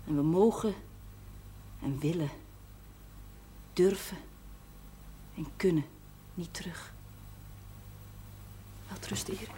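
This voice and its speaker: Weary, resigned housekeeper, noisy recording. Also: female voice, lowish voice, slow pace, pauses often, mellow. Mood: bitter, resigned. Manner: earnest, plain-spoken, bitterly resigned. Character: self-sacrificing priest's housekeeper, his sister, weary and resigned. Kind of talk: naturalistic television drama acting. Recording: noisy old television soundtrack.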